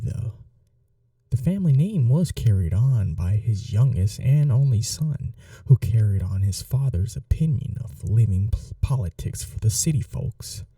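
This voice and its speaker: Man acting a very soft, dark-voiced gentleman vampire. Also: mid-range voice, dry recording, far from the mic. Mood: cozy, reflective. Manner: unhurried, polite, soothing, slightly formal. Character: courteous, caring gentleman vampire host, gently old-fashioned. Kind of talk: intimate ASMR roleplay.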